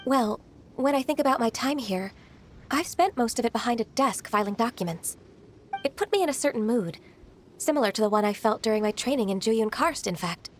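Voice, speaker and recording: A woman playing a gentle, earnest young half-immortal in a video game, polite, measured and slightly hesitant. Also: fairly high pitch, animated, slight background noise, natural voice. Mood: wistful. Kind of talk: anime-style video game acting.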